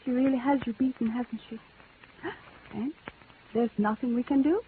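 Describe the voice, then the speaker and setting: A woman's voice: a little high, normal speed, slight background noise, natural voice. An actress playing a coaxing lady thief and lover, persuasive and dramatic, in old-time radio drama style. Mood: urging, resigned. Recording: dull vintage radio recording.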